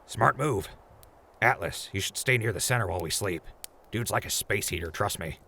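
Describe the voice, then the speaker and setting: A man playing a practical, friendly old wasteland traveler in an audio drama, with a raspy, folksy voice. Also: lowish voice, expressive, moderate pace, sharp tone, slight background noise, exaggerated voice. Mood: easygoing, wry. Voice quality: gravelly, raspy. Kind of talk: post-apocalyptic audio drama acting.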